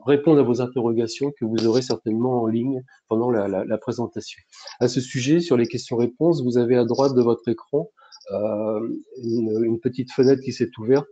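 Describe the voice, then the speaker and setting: Man, webinar host, hesitant, many fillers, mellow dark tone, dull audio. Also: medium pitch. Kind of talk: webinar presentation.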